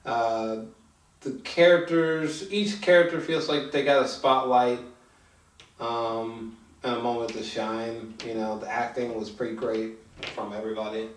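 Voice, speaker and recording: A man is heard from a little way off in an echoey room. He reviews films in casual conversation as a host, groping for words in a halting, informal flow with some swearing. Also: mid-range voice. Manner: halting, filler-heavy, swearing, animated when excited. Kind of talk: casual film review chat. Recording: echoey room, distant from mic.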